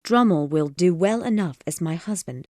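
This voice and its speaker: A man voicing a cold, proud young woman in a storybook reading, speaking calmly and without feeling. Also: high-pitched, normal speed, no echo, studio quality, voice pushed up.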